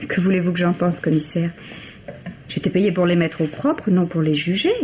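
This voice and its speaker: A woman's voice: fairly low pitch, fairly even intonation, moderate pace, darkish tone, natural voice. A young woman's voice , an actress's, as a reserved secretary giving cool, candid testimony. It is radio mystery acting, heard on a dull old recording with noticeable noise. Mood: composed, guarded.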